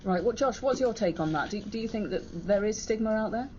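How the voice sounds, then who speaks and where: A radio presenter leading a morning interview, steering questions briskly with frequent stumbles and restarts. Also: male voice, high voice, darkish tone. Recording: dull broadcast audio with faint hiss.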